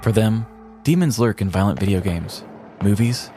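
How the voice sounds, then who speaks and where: A man's voice: lowish voice, lively intonation, moderate pace, mellow, a little hiss or hum. An American man from Missouri co-hosting a podcast, moving between casual chat and scripted storytelling. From small-town Missouri, US. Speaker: podcast co-host.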